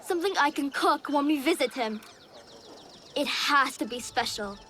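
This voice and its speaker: A woman's voice: high voice, lively intonation, moderate pace, sharp tone, slight background noise. A woman playing an eager, earnest youngster in a video game, chatty and keen to please. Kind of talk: video game cutscene acting.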